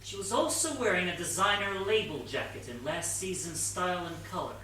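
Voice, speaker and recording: A very echoey stage recording from a distance carries an actor as an eager junior male detective. He is bright and sharp, slightly high for a man, and explains things with earnest comic deliberation. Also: animated, fairly quick, slight background noise. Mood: knowing, analytical. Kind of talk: comic stage play acting. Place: theatre stage, picked up from a distance.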